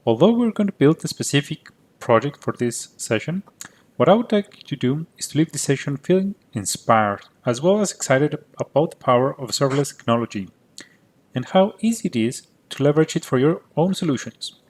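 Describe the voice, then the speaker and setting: A man from Cyprus, a native Greek speaker with a Greek Cypriot accent, giving a prepared conference talk in a clear, methodical way. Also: on the high side, sing-song, a little fast. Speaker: conference presenter.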